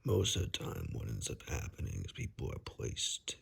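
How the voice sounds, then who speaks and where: A man playing a calm, wry forest ranger in a hushed ASMR roleplay, speaking slowly in a breathy, gravelly whisper with dry understatement. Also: extremely low pitch, exaggerated voice. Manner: slow, hushed, dryly understated.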